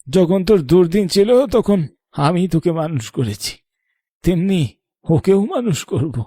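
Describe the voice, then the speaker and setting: A man voicing a protective, weary old grandfather in a cartoon, sharp-tongued but affectionate, with a creaky aged voice. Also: high-pitched, lively intonation, normal speed, no echo, studio quality. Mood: angry scolding. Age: older. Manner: scolding, then tender storytelling. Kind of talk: cartoon voice acting.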